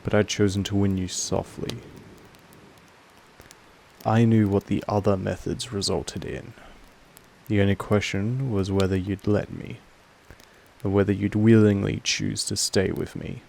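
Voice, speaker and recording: A man voicing a possessive, patient, commanding vampire master in a roleplay audio monologue, speaking in a slow, deliberate way with weighty pauses. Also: level tone, darkish tone, slight background noise, rough recording. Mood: controlled, quietly menacing. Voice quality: low, creaky. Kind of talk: scripted roleplay audio monologue addressed to the listener.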